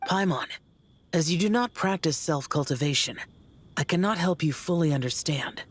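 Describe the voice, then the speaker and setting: A woman voicing a stoic, youthful male immortal warrior in a video game, husky, solemn and measured, with formal phrasing. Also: deep voice, expressive. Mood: solemn, reflective.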